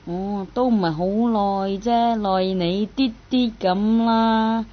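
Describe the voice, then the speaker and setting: An actress plays a tomboyish, possessive young rival in a Cantonese radio drama. Her mid-pitched voice has a Hong Kong accent and a pointed, cagey delivery, on a slightly lo-fi recording. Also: slow, lightly acted. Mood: suspicious, possessive. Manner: pointed, probing.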